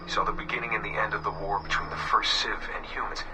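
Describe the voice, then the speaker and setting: An actor playing a weary, haunted young man, recording a halting, reflective confession in a subdued murmur for a game. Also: fairly low pitch, little pitch movement, noisy background, close to an everyday voice. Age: young adult. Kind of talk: recorded confessional audio diary, game voice acting. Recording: distant game audio with music behind. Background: music.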